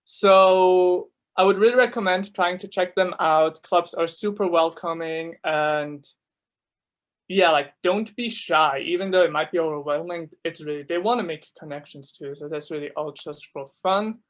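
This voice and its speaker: A man's voice: a little high, steady tone. A young man from Switzerland whose first language is German, giving a casual, filler-heavy talk as a student ambassador over a video call. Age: young adult. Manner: chatty, many fillers, quick laughs. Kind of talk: casual presentation to new students.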